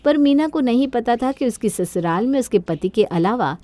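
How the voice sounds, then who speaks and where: A woman tells a scripted moral tale as its narrator. Her voice sits fairly high. The recording is close and dry, with no room sound. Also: lively intonation, normal speed, dark tone, no echo. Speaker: storyteller.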